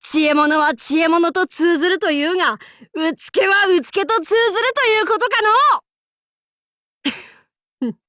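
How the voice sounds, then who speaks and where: A woman playing a brash, cocky young feudal warlord in an anime game, with rough, masculine, archaic and boastful speech. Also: very high-pitched, normal speed, fairly bright tone, no room sound, studio quality. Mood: amused, resolute. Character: brash, cocky young feudal warlord, self-styled fool, fiercely loyal. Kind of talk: anime game character voice acting.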